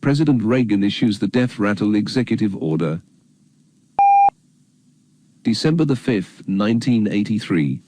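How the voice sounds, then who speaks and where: A man reading scripted narration for an educational documentary filmstrip in a grave, measured, matter-of-fact manner. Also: fairly low pitch, expressive, heavy background noise.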